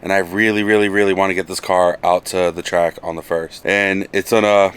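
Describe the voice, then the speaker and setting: A man's voice: low voice, flat delivery, a little fast, a bit bright, clear, resonant tone. A man with a nasal voice from a cold, chatting casually about working on his car in a home garage. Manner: casual, mutters while working, swears. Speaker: car project vlogger.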